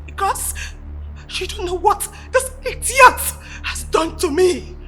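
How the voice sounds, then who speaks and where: A woman playing a jealous, hot-tempered young princess who shouts and scorns. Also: very high-pitched, animated, slow, soft background music, full character voice. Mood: furious. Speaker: actress in a comedy skit. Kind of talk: skit acting.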